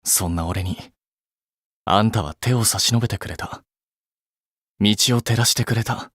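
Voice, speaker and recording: Casting: young male game idol, low husky voice, restrained, earnest, blunt, easily embarrassed. Very dry sound. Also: moderate pace, fairly bright tone, no room sound. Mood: solemn, tender. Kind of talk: Japanese mobile game voice acting.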